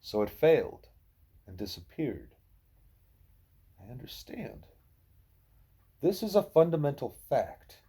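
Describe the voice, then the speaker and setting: Husky, mellow male audiobook reader, lively intonation. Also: medium pitch. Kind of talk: scripted narration of a novel in dialogue.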